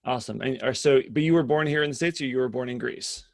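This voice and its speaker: A man's voice: medium pitch, quick pace, creaky. A man hosting a webinar interview, enthusiastic and repeating himself as he searches for words. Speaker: webinar host. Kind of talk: conversational interview.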